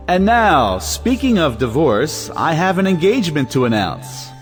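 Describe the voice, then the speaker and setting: An actor playing a proud, self-important gentleman host in an audio play, speaking in formal, pompous oratory. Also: on the high side. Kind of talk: theatrical toast-making.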